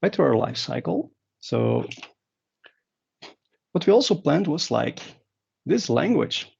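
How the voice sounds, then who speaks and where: A man's voice: a little high, big pitch swings, takes it slowly, gravelly, darkish tone, breathy edge. A man from Belgium, giving a conference talk in a rambling way with many fillers and restarts. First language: Dutch. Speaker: conference speaker. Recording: dull lo-fi sound.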